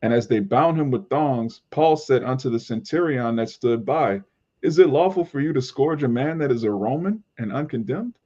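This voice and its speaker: A man's voice: mid-range voice, expressive, normal speed, mellow. Dull-sounding recording of man reading scripture. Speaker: scripture reader. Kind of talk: reading scripture aloud in group study. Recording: dull lo-fi audio.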